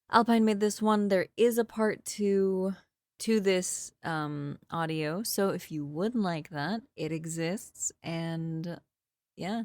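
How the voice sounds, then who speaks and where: A woman's voice: low voice, gravelly, no echo, clean studio sound. A woman chatting casually to her viewers as a channel creator, informal, hesitant and a little rambling. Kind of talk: casual outro chat to viewers.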